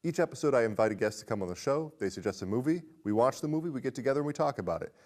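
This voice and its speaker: A man's voice: mid-range voice, expressive, very fast, darkish tone. A man hosting a casual film discussion in a chatty, jokey way. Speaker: podcast host.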